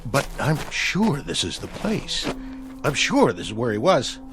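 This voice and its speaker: An actor playing a weary, evasive astronaut in a vintage TV drama, sullen and defensive, stammering when pressed. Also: male voice, mid-range voice, huge pitch swings, a little slow, poor audio. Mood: desperate, pleading. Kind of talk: mid-century television drama acting. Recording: music under dialogue.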